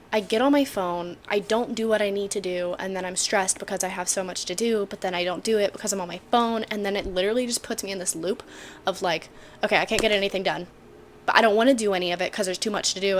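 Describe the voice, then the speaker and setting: A woman's voice: mid-range voice, slight background noise. A twenty-year-old woman talking casually to camera at home in a chatty vlog, joking and full of fillers. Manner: bubbly, joking, filler-heavy. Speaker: vlogger.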